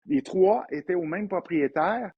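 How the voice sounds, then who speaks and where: A man in his fifties with a Québécois accent, an entrepreneur guest telling lively anecdotes in a casual interview. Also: high voice, unhurried, vocal fry, husky, dull audio. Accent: Québécois French. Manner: animated anecdotal storyteller, frequent restarts.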